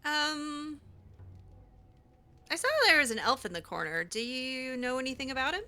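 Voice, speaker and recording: A woman's voice: sits high, expressive, normal speed, bright, forceful tone. A woman playing through a role-play video game in character, musing aloud to herself in a hesitant way. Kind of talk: in-character game playthrough commentary.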